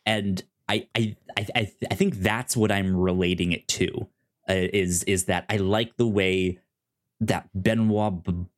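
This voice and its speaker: Male podcast co-host, deep-ish register, unhurried, stop-and-start delivery with repeated words, informal review chat. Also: steady tone. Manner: halting, repeats words and restarts often.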